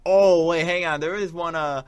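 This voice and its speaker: A man's voice: a little high, fairly even intonation, normal speed, clean tone, dry recording, muffled recording. A young adult man chatting casually about video games as a talk show co-host, in an eager, rambling, informal way. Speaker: college radio show co-host.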